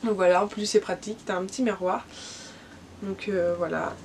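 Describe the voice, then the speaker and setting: A woman's voice: medium pitch, steady tone, moderate pace, heavy background noise. A young woman with a cold, chatting casually in a vlog and showing her gifts, with lots of fillers and restarts. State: has a cold. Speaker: vlogger. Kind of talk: casual gift haul vlog.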